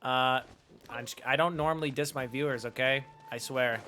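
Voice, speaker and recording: A man's voice: mid-range voice, steady tone, normal speed, sharp tone. A man live streaming a video game with casual, flippant, wisecracking commentary.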